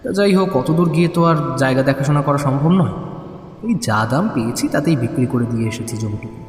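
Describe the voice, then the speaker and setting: An actor playing an earnest, loyal, persuasive friend in a dramatised audio horror story. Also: male voice, medium pitch, expressive, fairly quick, lightly acted. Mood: resolute. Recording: echoey reverb, music underneath.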